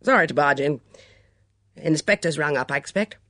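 A woman voicing a blunt, vigorous country woman in an audiobook story, gruff and clipped. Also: low voice, moderate pace, fairly bright tone, no room sound, studio quality. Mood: brusque. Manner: clipped, barking.

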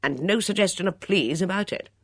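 A woman reader acts out a brusque, commanding countrywoman in an audiobook. She is blunt and loud, with clipped, no-nonsense phrasing. Her pitch moves around boldly in a close, dry recording. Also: lively intonation, brisk, dry recording. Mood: dry, bossy. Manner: blunt, bellowing, clipped upper-class slang.

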